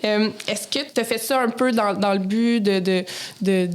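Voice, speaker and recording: Québécois woman interviewing casually, mid-pitched. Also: a little slow, smooth. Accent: Québécois French. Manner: informal, frequent listening murmurs. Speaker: podcast interviewer.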